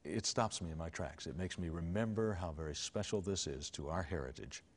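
A man hosting an interview, asking questions in an earnest, reflective and measured way. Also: low voice, in a large hall. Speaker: host.

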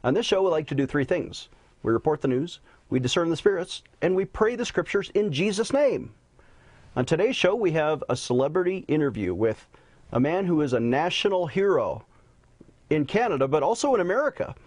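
A man's voice: a little high, very animated, fairly quick, a little mellow, dry recording. A man hosting a religious news talk show, speaking in a formal, preacherly way.

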